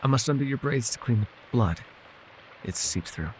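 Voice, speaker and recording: A man playing a gentle, weary immortal elf healer with a wry streak, speaking softly in measured, formal phrases for an intimate fantasy ASMR roleplay. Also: mid-range voice, lively intonation, a little hiss or hum, close to an everyday voice, rough recording. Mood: wry, chiding.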